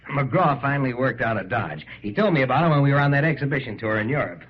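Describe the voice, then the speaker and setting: An actor playing a hearty, good-natured big star ballplayer in an old radio drama. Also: male voice, mid-range voice, animated, fast, slightly rough. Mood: amused, pleased. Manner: stammering when flustered, chuckling when pleased. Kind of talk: 1930s-set radio drama acting. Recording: dull, lo-fi old radio recording.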